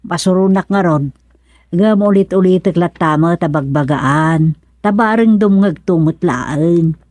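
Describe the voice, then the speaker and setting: A woman's voice: on the low side, unhurried, darkish tone, no room sound. A woman acting in a Filipino radio serial as a devout, patient but vexed older aunt, with a Filipino accent and a sighing, admonishing manner.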